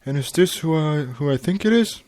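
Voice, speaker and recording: A man's voice: fairly high pitch, expressive, brisk, a little mellow, voice pushed up, close to an everyday voice. A man voicing a brash, cheeky young male pegasus wheeler-dealer in a boastful, familiar way, reading a story. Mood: cheery.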